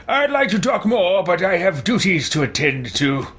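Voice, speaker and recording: A man voicing a haughty, dry-witted male mage in a video game roleplay, speaking deliberately. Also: high-pitched, sing-song, a bit bright, husky, pitched above the performer's own voice, exaggerated voice. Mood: dry, inquisitive.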